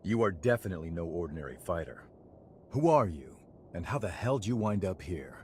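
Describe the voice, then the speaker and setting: A man's voice: low-pitched, very animated, brisk, noisy background. A man voicing a cocky, good-humored young fighter in a video game, with a casual, slangy, teasing manner. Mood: wry, amused. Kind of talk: scripted video game cutscene acting.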